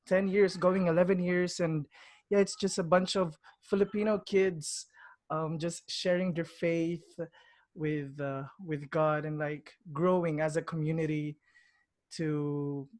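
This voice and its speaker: An eighteen-year-old man from Greece with a slightly high voice, joking and giggling through a casual self-introduction. The sound is close and dry. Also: steady tone, unhurried, darkish tone, no room sound. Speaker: guest.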